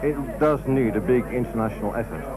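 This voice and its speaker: A man's voice: mid-range voice, a little fast, a crowd in the background. A man narrating a scripted television news report.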